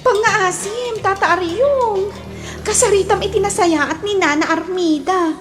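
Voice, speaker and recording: A woman's voice: extremely high pitch, unhurried, music in the background. A woman playing a sweet, coaxing, giggly young daughter in a comedy radio drama. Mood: pleading, coaxing. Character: sweet, pleading young daughter, cheerful peacemaker.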